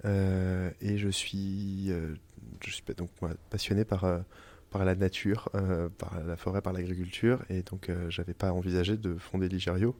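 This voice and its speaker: A young French man, an interviewee telling his story in casual conversation, pausing often with fillers and restarts. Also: low-pitched, little pitch movement, darkish tone. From France. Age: young adult. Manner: hesitant, many fillers and restarts. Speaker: interviewee, company founder. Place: family house in the countryside.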